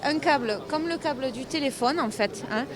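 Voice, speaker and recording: Saleswoman at a fair booth, high voice, eager pitch, crowd noise all around. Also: moderate pace. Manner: eager, practical explaining with fillers. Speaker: exhibitor selling a product. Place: busy fair stand. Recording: noisy fair crowd behind.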